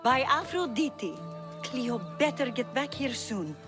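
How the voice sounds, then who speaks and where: Casting: loyal, earnest young woman in a game drama, higher register, music behind. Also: animated, slow pace. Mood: worried. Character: worried loyal young courtesan seeking her friend. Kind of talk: video game dialogue acting.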